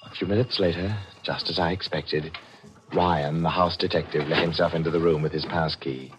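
A man's voice: low voice, fairly even intonation, normal speed, noisy background, natural voice. An actor playing a cool, calculating murderer who narrates his scheme in an old radio mystery, controlled and confiding. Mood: cool, scheming. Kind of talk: 1940s radio mystery acting, first-person narration. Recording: old radio drama with music behind.

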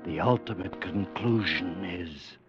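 Very deep, ominous radio announcer man. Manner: ominous, deliberate, dramatic. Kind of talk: 1940s-50s radio drama announcing. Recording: dull vintage radio recording. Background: dramatic music.

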